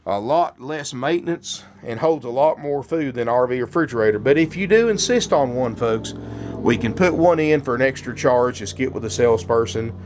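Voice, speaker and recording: A man's voice: mid-range voice, a little mellow. Folksy RV salesman with a cold. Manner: folksy, keeps saying "folks". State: has a cold. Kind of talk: walkthrough sales pitch. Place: inside a motorhome.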